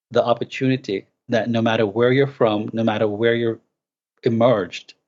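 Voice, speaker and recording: A man with a Jamaican accent, an author being interviewed, answering in a measured, eloquent way with frequent restarts. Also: on the low side, a little mellow, dull audio. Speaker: guest author.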